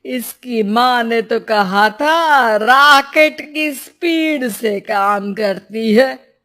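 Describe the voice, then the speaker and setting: A woman's voice: a little high, drawn-out words, pushed and bright, heavily acted. A woman voicing a greedy, harsh mother-in-law who scolds and demands, in a moral story. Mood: scornful, demanding.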